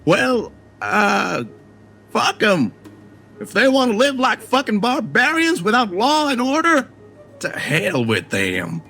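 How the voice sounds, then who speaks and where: An actor voicing a foul-mouthed, contemptuous self-appointed sheriff in a video game, coarse and dismissive. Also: male voice, high voice, wildly swooping pitch, slow pace, creaky, pushed and bright, faint music behind, exaggerated voice. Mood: contemptuous, irritated. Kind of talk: video game character dialogue.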